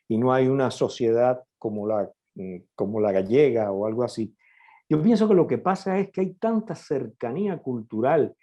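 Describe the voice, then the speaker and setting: A man who directs for television, speaking as a guest on a discussion panel. He explains his view reflectively and pauses to find his words. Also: medium pitch, warm tone. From Cuba.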